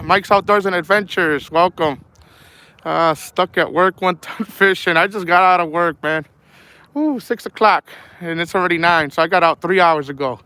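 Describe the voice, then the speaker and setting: A young man with a sore throat and a voice that is going, hosting a casual fishing livestream outdoors by a frozen lake, rambling easily and calling everyone "man". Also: sits high. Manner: rambling, easygoing, says "man" a lot. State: losing his voice, sore throat. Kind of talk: casual live-stream chat reading out viewer names. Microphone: phone held at arm's length. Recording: loud wind noise outdoors. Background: wind and honking geese.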